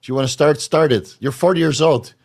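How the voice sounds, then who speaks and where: A man hosting a casual question-and-answer show, blunt and profane, his voice going hoarse. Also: on the high side, a little fast, a little mellow. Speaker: podcast host answering viewer questions. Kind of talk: casual live Q&A.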